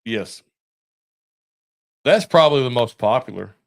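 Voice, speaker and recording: Male co-host, medium pitch, dry recording, folksy and informal conversational delivery. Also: animated, a little slow, vocal fry, no echo. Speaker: podcast co-host. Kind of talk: casual chat.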